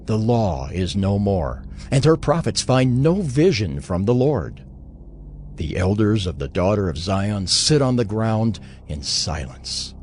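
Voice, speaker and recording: A man playing a solemn, mournful narrator of dramatized scripture, letting his phrases fall away gravely. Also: on the low side. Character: solemn male narrator lamenting a ruined city.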